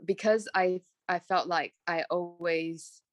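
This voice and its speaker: A woman's voice: fairly low pitch, fairly even intonation, slow pace, dry recording, studio quality. Young Malaysian woman, filler-heavy chat. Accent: Malaysian with British and American traces. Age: young adult. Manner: rambling, many "like" and "um" fillers, self-restarts. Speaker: guest, fashion graduate. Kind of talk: casual podcast interview.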